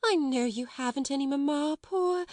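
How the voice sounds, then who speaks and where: A woman's voice: high voice, moderate pace, a little mellow, no room sound, studio quality, voice pushed up, close to an everyday voice. A woman voicing a helpless, flustered schoolteacher in a storybook, wavering between coaxing and scolding. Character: flustered, helpless plump woman teacher pleading with a child.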